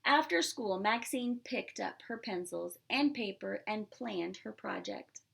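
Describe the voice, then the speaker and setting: Animated gravelly-edged woman reading a picture book. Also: a little high. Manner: expressive, simple explanatory asides to young listeners. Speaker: pre-K teacher reading aloud. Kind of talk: picture-book read-aloud with asides to children.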